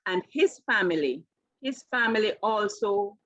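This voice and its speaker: A woman's voice: mid-range voice, animated, unhurried, a bit bright, dry recording, muffled recording. A woman in her fifties with a Jamaican accent, telling her personal story conversationally as an interview guest. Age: 50s. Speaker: interview guest, keto coach.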